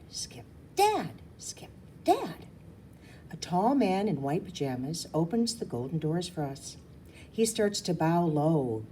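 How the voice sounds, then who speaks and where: Female storybook reader, low and mellow, informal, lively intonation, small slips left in. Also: a little hiss or hum. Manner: stumbles and corrects herself. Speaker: reader of a children's novel. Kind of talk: storybook read-aloud, informal.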